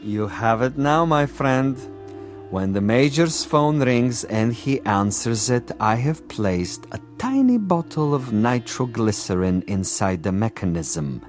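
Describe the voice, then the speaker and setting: An actor playing a smug, cunning commissar and assassin in a vintage television drama, with a pompous, savoring delivery. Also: male voice, medium pitch, very animated, slow pace, faint music behind. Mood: relishing. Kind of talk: 1960s television drama acting.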